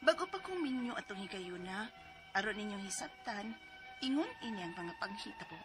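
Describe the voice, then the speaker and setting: A woman's voice: medium pitch, expressive, a little fast, music in the background. A woman in her forties with a Cebuano accent, earnestly narrating her own story as a letter sender. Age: 40s.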